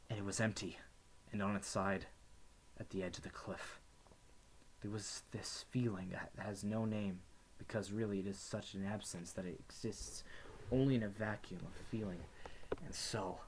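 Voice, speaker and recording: A young man giving a somber dramatic reading of first-person fiction, his phrases sometimes dropping to a hush. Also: fairly low pitch, slight background noise. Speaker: student reader.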